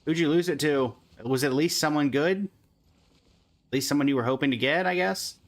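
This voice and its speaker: A man's voice: on the high side, a little fast. A man livestreaming a game, chatting casually and in a friendly way with viewers while commenting on his play.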